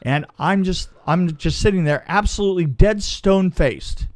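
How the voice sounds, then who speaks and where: A middle-aged man telling a casual anecdote in an emphatic, halting way, repeating phrases and checking in with the listener. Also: high voice. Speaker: podcast guest, film crew veteran.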